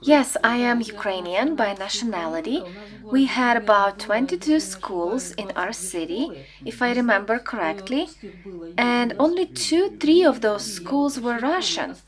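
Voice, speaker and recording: Animated, higher-voiced woman recalling firmly. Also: unhurried. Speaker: interviewee. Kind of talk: personal recollection in an interview.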